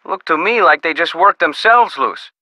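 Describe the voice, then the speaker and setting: An actor playing an easygoing, skeptical small-town sheriff in a video game, with a folksy, casual manner. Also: male voice, fairly high pitch, animated, pushed and bright, no echo. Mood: folksy, unhurried. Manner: folksy, casual, drawn-out reactions. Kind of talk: video game character dialogue.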